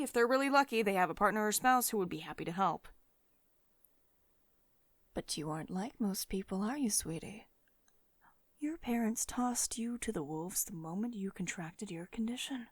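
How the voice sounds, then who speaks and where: A close, dry recording of a powerful female CEO, acted by a woman in an ASMR roleplay. She is cool, possessive and teasing, with a mid-pitched voice. Her delivery is measured and condescending, coaxing the listener as someone used to getting her way. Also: dry recording. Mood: stern, reasoning, then softly pitying, coaxing. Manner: measured, condescending pet names, drops into breathy whispers. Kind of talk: ASMR roleplay, intimate monologue to one listener.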